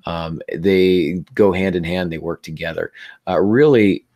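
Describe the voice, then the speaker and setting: Deep voiced guy hosting a writing podcast, hoarse from laryngitis, lots of uhs. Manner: relaxed, halting, many fillers and restarts. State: losing his voice to laryngitis. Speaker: podcast host. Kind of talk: casual podcast chat and teaching.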